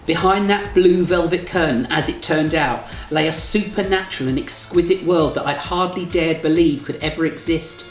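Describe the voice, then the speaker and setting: An English man narrates a novel with an expressive storyteller's delivery. The recording has a roomy echo, with faint music underneath. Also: on the high side, moderate pace, hardly any pauses. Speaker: author reading from own novel. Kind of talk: scripted first-person narration, audiobook reading.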